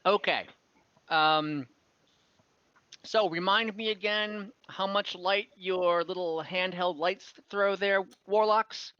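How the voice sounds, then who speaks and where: Man, game master, higher-pitched, easygoing halting explanations, dry close mic, slightly dull call audio. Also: pushed and bright, smooth, no room sound. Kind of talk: running an online tabletop game, casual.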